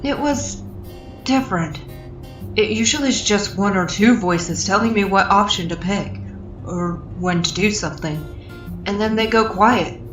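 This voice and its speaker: A woman's voice: medium pitch, measured pace, reverberant, distant mic, natural voice, low-quality recording. A woman voicing a weary but polite young male adventurer in a comic fan dub. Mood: matter-of-fact. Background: music.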